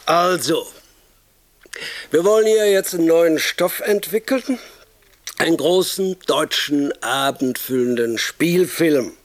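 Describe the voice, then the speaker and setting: Pushy, pompous film producer, dry sound. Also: male voice, a little high, dry recording. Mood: impatient, prodding. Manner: bossy, rambling, self-enthused, with hesitations and tag questions. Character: pompous, crude, impatient German male film producer pitching movie ideas. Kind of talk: radio comedy monologue, improvised-sounding pitch.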